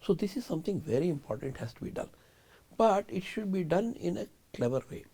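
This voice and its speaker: A man's voice: high voice, sing-song, fairly quick, mellow, husky. An older man, a guest scientist in a studio interview, arguing emphatically and asking rhetorical questions.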